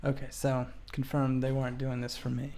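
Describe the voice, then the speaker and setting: A man giving casual livestream commentary as he plays a game, answering questions in a thoughtful, halting, reflective way. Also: medium pitch, monotone, mellow, a little hiss or hum. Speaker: game streamer.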